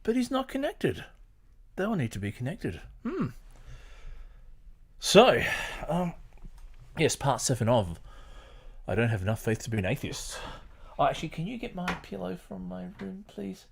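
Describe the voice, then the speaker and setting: A man's voice: high-pitched, huge pitch swings, normal speed, gravelly, pauses often, slightly rough. A middle-aged man hosting a podcast, chatting casually and reading aloud from a book. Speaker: podcast host.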